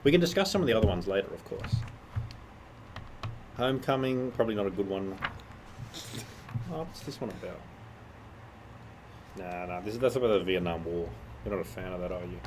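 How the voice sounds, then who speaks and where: A man's voice: low-pitched, animated, fairly quick, long pauses, slight background noise, poor audio. A young man in casual podcast chat, teasing and arguing in a dry way. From Australia. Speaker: co-host.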